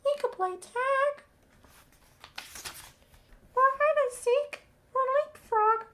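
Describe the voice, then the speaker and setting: A squeaky little girl lion cub, playful and bossy, super high. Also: takes it slowly, a bit bright, a little hiss or hum, full character voice. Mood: coaxing. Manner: squeaky, eager, coaxing. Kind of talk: storybook read-aloud.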